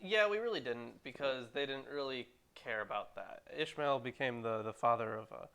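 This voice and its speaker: A man speaks in an average-pitched voice. His delivery is informal, like a co-host chatting and adding comments among colleagues. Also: lively intonation, moderate pace, sharp tone, clear, resonant tone. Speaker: show co-host. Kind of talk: casual chat, commentary.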